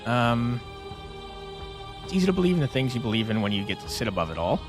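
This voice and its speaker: A man's voice: medium pitch, big pitch swings, fast, music in the background. A man streaming a game with casual commentary, reading choices aloud and chatting chummily back to the characters. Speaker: game streamer, let's player.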